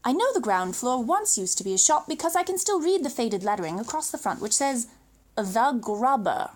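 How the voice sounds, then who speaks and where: A woman with a British accent reading a children's story aloud in a theatrical, expressive way, with dramatic emphasis. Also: a little high, normal speed.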